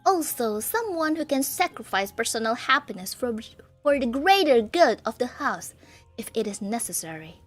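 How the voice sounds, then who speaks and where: A woman's voice: fairly high pitch, big pitch swings, normal speed, soft background music, pitched below the performer's own voice. A woman reading the part of a stern, reproachful older stepsister in a visual novel, her tone earnest and lecturing.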